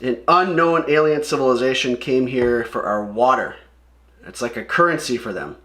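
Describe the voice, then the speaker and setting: A man's voice: mid-range voice, animated, normal speed, pushed and bright. A man reading the part of a grave woman warrior from a comic, solemn and expository. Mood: grave, explaining.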